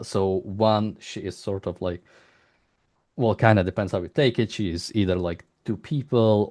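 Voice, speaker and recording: A man's voice: fairly low pitch, a little mellow. A man interviewing someone, speaking hesitantly in rambling questions with frequent restarts. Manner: hesitant, halting, rambling, often restarts sentences. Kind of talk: unscripted interview, long exploratory questions.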